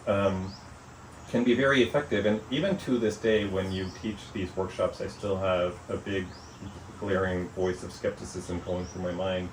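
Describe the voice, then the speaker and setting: A man being interviewed about his book, speaking in a thoughtful, measured way with frequent "you know" and "um". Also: low voice. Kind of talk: reflective interview conversation. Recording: echoey room, mic at a distance, faint noise.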